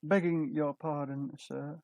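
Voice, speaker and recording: A man's voice: a little high, steady tone, slow, vocal fry, darkish tone, dry recording. A man playing a flustered, deferential London hotel desk clerk, polite and formal, in an old-time radio drama.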